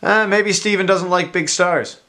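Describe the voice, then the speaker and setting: A man off camera reading the part of a calm, seasoned actor in a plain, matter-of-fact way. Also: high voice, a little slow. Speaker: off-camera scene reader. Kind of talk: reading lines in a scene.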